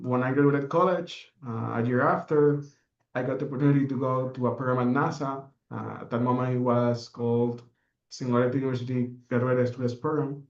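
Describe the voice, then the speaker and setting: A man's voice: medium pitch, reverberant, lo-fi sound. A young man from the Dominican Republic, a podcast guest telling his story in an earnest, reflective way with many fillers. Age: young adult. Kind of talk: interview answers.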